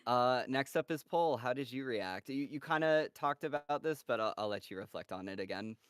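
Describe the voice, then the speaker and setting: A man's voice: mid-range voice, expressive, fairly quick, a bit bright, smooth, no echo, studio quality. A man hosting a cast Q&A, upbeat and laughing often as he talks. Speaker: host of cast Q&A. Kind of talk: casual chat.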